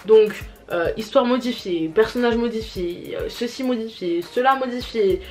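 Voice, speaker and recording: A woman's voice: fairly high pitch, normal speed, a little mellow, soft background music. A young woman vlogging at home to her camera, chatting casually about a series with lots of fillers and restarts. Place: home, family noises around. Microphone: camera mic.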